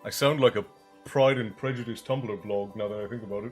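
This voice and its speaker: A man's voice: lowish voice, fairly even intonation, a little fast. Congested guy chatting with his stream, jokey, faint music playing under him. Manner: chatty, joking, greets viewers by name. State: congested, with a bit of a chesty cough. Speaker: livestream host. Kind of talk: casual chat with live viewers. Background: soft background music.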